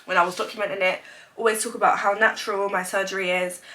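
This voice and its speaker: A woman's voice: mid-range voice, little pitch movement, quick pace, sharp tone, poor audio. A woman in her twenties, congested from a cold, chatting openly in a casual sit-down vlog with lots of "like" fillers. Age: 20s. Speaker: vlogger. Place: indoors, seated at home. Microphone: camera mic, sitting in front of it.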